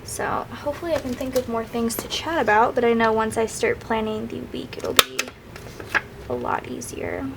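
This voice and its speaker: A woman's voice: on the high side, expressive, normal speed, vocal fry, heavy background noise. A young woman chatting casually and a bit rambling while she works on her planner, sounding sniffly from crying. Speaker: planner vlogger. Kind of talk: casual craft vlog chat.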